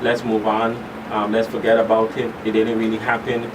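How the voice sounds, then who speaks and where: A man speaking as an expert guest in a radio interview, explaining in a halting, deliberate way and repeating phrases for emphasis. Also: on the low side, completely flat delivery. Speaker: expert guest, school counselor. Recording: distant mic, clear hum and background noise.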